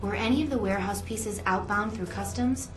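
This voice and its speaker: A woman's voice: medium pitch, brisk, natural voice. A woman playing a spy's concerned, inquisitive ally in a video game, talking in an earnest, questioning way. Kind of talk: video game cutscene dialogue. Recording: roomy sound with music behind.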